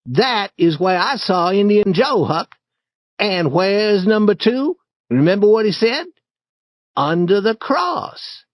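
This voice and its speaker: A man's voice: high voice, slow pace, no room sound, clean studio sound, pitched above the performer's own voice, exaggerated voice. A man reading a story, voicing a bold, eager young boy who leads the way. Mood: excited. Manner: confident, excited, urging.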